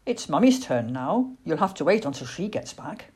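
A woman voicing a calm, matter-of-fact father barn owl in a storybook, speaking in a mild, measured manner. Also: sits low, slightly rough, full character voice.

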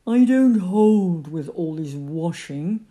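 A woman's voice: medium pitch, lively intonation, drawn-out words, dark tone, pitched above the performer's own voice. A woman voicing a gloomy, grumbling old male donkey in a storybook, disapproving and drawn out in delivery.

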